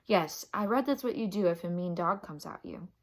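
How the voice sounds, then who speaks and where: A woman's voice: on the low side, expressive, moderate pace. Woman reading as cautious, bossy young boy. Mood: matter-of-fact. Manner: urgent, instructing. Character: Jack, cautious bossy boy giving urgent instructions.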